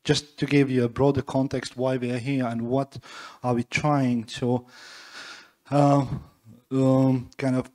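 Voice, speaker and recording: A man moderates a panel in a large hall, speaking into a handheld mic. His voice is mellow and dark, and he talks haltingly, full of fillers and restarts. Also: mid-range voice, level tone. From Latvia. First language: Livonian. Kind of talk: panel discussion.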